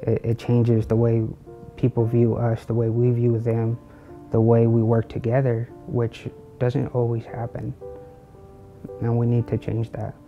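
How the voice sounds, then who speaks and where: A man's voice: mid-range voice, completely flat delivery, measured pace, muffled recording, faint music behind. A man speaking earnestly as a patient in a documentary interview. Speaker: psychiatric hospital patient.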